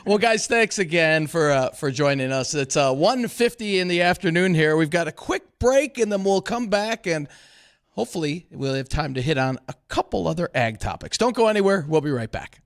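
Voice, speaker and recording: A man hosting a farm radio show, closing the segment and sending the show to a break in a brisk, friendly way. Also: a little high, expressive. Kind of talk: wrapping up a segment.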